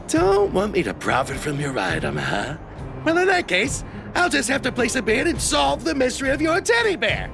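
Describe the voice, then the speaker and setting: A smug game schemer with a creaky voice. He is slow, sly and theatrical, with huge pitch swings over music. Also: huge pitch swings, exaggerated voice. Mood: smug, excited. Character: smug, suspicious, scheming auction bidder. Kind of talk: animated game voice acting. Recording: music behind the voice.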